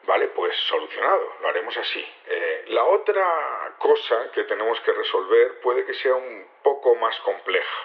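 A man with an accent from Spain playing the leader of a secret rebellion in an audio drama, explaining things haltingly in naturalistic dialogue. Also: mid-range voice, expressive, lightly acted. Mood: deliberating. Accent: Spanish (Spain). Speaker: actor in a fiction podcast. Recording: dull lo-fi radio-like sound.

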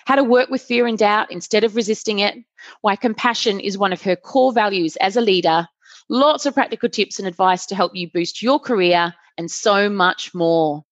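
A woman's voice: medium pitch, no echo, dull audio. A woman hosting a podcast, reading a scripted intro and then interviewing her guest with effusive, enthusiastic praise. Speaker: podcast host.

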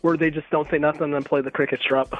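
A man's voice: on the high side, level tone, fast, over music. A man calling in to a radio show, rambling and repeating himself as he chats. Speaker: caller. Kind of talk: casual call-in chat. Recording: dull phone line.

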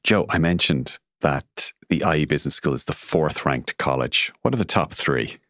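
Low-voiced man hosting a podcast. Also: expressive, moderate pace, a bit bright, no room sound, clean studio sound. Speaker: podcast host and interviewer. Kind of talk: scripted intro and conversational interview.

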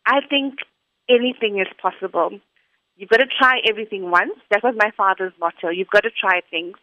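A woman's voice: medium pitch, fairly quick, bright, forceful tone, dry recording. A woman, giving earnest, articulate answers as an interview guest. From South Africa. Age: 40s. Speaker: interview guest, school academic director. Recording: dull lo-fi sound, dry.

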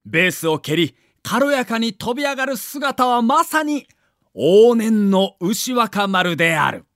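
Guy narrating a documentary, super lively delivery, higher voice, dramatic, dry studio-like sound. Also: a little slow, no echo.

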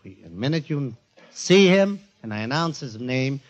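A man presenting a show to a studio audience in an emphatic, informal, jokey warm-up style, on a 1940s radio recording. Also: mid-range voice, sing-song, normal speed, rough recording. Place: before a live studio audience.

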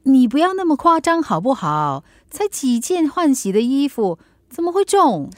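A woman's voice: sits high, very animated, moderate pace, darkish tone, no room sound, studio quality. An actress with a Singaporean accent playing a middle-aged, teasing and mildly exasperated mother in a radio drama, with chiding, playful banter. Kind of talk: Mandarin radio drama acting.

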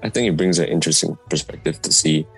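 A man's voice: a bass voice, fast, vocal fry, breathy edge, soft background music. A man from Singapore answering interview questions conversationally. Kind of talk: street interview.

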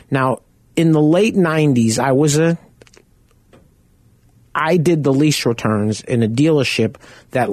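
A man with a loud voice hosts a radio talk show. He chats casually and rambles through personal stories in a middle pitch. The sound is dry and close, as if he is right at the studio mic. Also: dry recording. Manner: conversational, rambling, anecdotal.

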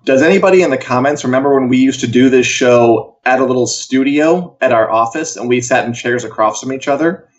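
Man, medium pitch, wry and jokey show host, casual news chat, muffled lo-fi audio. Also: a little fast, few pauses. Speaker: co-host of a pop culture live show. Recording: dull, lo-fi sound.

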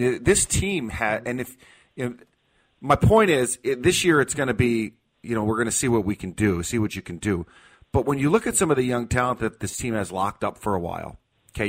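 A man's voice: medium pitch, a bit bright. Emphatic American man talking sports on radio. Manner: emphatic, repeats key phrases. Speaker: sports talk radio host. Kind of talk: opinionated sports talk.

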